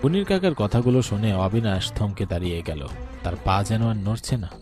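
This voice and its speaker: Low-voiced male horror story narrator. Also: animated, a little fast, over music. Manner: suspenseful. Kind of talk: dramatic scripted horror narration.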